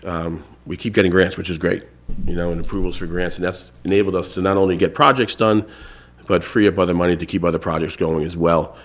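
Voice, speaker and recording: A congested man with a very low voice chairs a town board meeting from a table microphone. He talks casually, with many fillers and restarted phrases. He sounds to be in his thirties. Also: fairly even intonation, fast talker, few pauses. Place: town hall meeting room.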